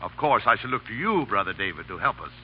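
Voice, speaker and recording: Pompous, animated old-radio gentleman. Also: fairly low pitch, quick pace. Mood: smooth, sly. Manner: florid, courtly, pompous. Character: genteel, pompous stepfather playing the society gentleman. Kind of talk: 1930s American radio drama acting. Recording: muffled, hissy 1930s radio recording.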